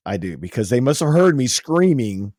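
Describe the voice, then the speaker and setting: A hoarse man from the United States hosting a casual podcast, boisterous and emotional. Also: medium pitch, sing-song, moderate pace, a little mellow, no echo, studio quality. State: hoarse from cheering. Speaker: podcast host.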